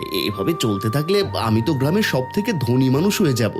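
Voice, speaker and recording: A man's voice: mid-range voice, sing-song, fast, music in the background, lightly acted. A man voicing a greedy, sly village food-stall owner in a cartoon moral story. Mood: gloating.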